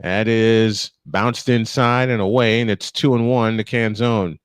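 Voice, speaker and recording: A man's voice: lowish voice, fairly even intonation, a little slow, smooth, no room sound, studio quality. A man working as a play-by-play announcer, giving live baseball commentary mixed with chat.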